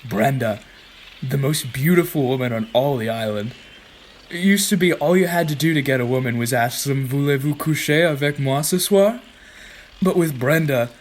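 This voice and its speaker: A man's voice: medium pitch, natural voice. Casting: man to play lovelorn middle-aged French charmer for radio play, florid and wistful, French accent, faint ambient noise behind. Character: suave lovelorn French ladies' man in his fifties.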